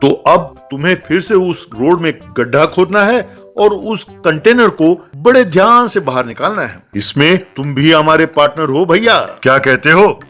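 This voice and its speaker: A man's voice: on the high side, lively intonation, moderate pace, hardly any pauses, a bit bright, faint music behind. A man voicing a cocky, scheming thief fresh out of jail in a comedy cartoon. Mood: confident, scheming. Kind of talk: Hindi comedy cartoon dialogue.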